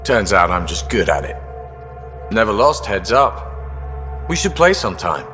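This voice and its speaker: A cocky, animated space rogue. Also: male voice, on the low side. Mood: teasing, laid-back. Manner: loose, teasing, drawn-out phrases. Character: roguish, cocky, easygoing male space drifter. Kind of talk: video game dialogue acting. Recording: long reverb, music behind.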